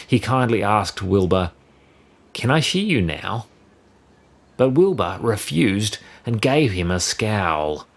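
Unhurried, expressive low-voiced man reading a story. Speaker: storybook narrator. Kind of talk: scripted rhyming children's story read-aloud.

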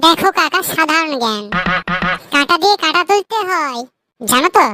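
A performer voicing a cheeky young nephew in a cartoon comedy, shrill and childlike, glib and theatrically mock-earnest. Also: expressive, normal speed, crowd noise. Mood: smug explaining. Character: cheeky young nephew teasing his old uncle.

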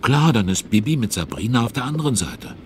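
A man's voice: medium pitch, sing-song, a little fast, slight background noise, natural voice. A man playing a chatty, curious narrator in a children's radio play. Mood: amused, curious. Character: chatty, curious male storyteller commenting on the action.

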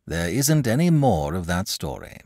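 A man voicing a kindly but abrupt grown man in a storybook, brisk and firm. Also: low voice, sing-song, darkish tone, dry recording, studio quality, exaggerated voice. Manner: brisk, clipped. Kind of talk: storybook character voice.

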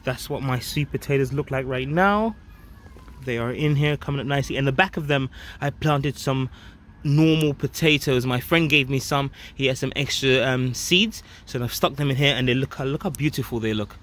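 A man's voice: medium pitch, normal speed, a bit bright. A man in his thirties giving a casual, enthusiastic update to viewers, speaking emphatically into a handheld phone outdoors. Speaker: vlog host, allotment gardener. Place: outdoors on an allotment garden. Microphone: handheld phone at close range. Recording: outdoor ambient and traffic noise. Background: outdoor ambience, vehicle noise.

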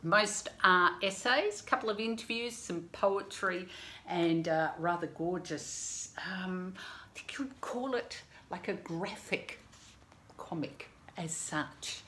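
A woman, hosting a casual book review from her living room, chatty and animated with many asides. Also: lowish voice, a little hiss or hum. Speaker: book reviewer and channel host. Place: home living room, indoors. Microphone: camera on desk, framed head and shoulders.

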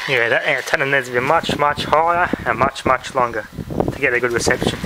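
A man's voice: mid-range voice, a little fast. A man casually thinking aloud in a muttering way as he gives a hands-on demonstration. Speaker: amateur video maker demonstrating a shortwave radio. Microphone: handheld camera mic. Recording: noisy handheld camera, loud radio static. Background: loud shortwave radio noise.

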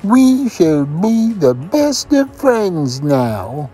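A storyteller voicing a courteous male frog who speaks slowly and politely in a children's story. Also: medium pitch, very animated, mellow, slightly rough, soft background music, voice pushed up, full character voice. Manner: slow, drawn-out courteous speech. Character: polite, patient male frog with a rhyming warning. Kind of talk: storybook character voice.

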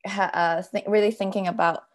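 A woman from Hong Kong, a workshop participant, speaking thoughtfully in an online panel with many pauses. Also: medium pitch, steady tone.

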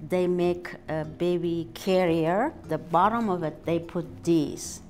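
A woman's voice: fairly low pitch, steady tone, takes it slowly, slight background noise. An older woman from Ethiopia with an Ethiopian accent, a restaurant owner talking earnestly in a sit-down interview in her restaurant dining room. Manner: warm, earnest, with simple non-native phrasing. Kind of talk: casual interview.

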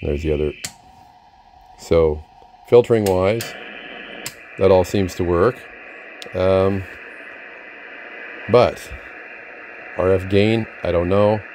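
A man's voice: low-pitched, slow pace, pauses often, darkish tone, a little hiss or hum, poor audio. A middle-aged or older man giving a casual, unscripted product demonstration in a hesitant, rambling, self-deprecating manner. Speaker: vintage radio collector presenting a receiver. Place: indoor room, at a desk with radio gear.

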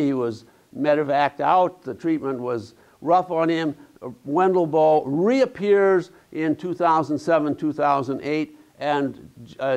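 A middle-aged man giving a conference keynote in a large lecture hall, explaining slides in a halting way with fillers and restarts, checking in with the audience. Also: fairly high pitch. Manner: halting, many fillers and restarts, tag questions. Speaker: keynote speaker.

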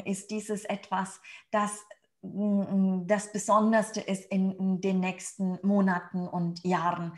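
Middle-aged female spiritual channeler, unhurried and solemn, halting delivery full of hums and repetitions. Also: mid-range voice. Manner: halting, with frequent hums and word repetitions between phrases. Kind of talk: improvised spiritual channeling message to a group. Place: indoors, small room on a sofa.

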